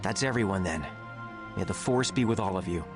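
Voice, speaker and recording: A man voicing a calm, earnest Jedi master giving formal briefings in a video game. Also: low voice, brisk, over music. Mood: calm authority.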